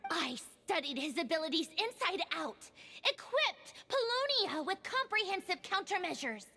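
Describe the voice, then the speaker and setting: A woman playing a high-strung young researcher in a video game, indignant and scolding, in a reverberant space. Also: very animated, moderate pace, sharp tone, soft background music, exaggerated voice. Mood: exasperated.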